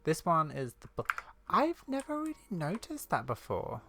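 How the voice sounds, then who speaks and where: A woman's voice: low-pitched, huge pitch swings, normal speed, fairly bright tone, slight background noise. A woman giving casual let's play commentary, musing and thinking aloud as she plays. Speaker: gamer commentating on a playthrough.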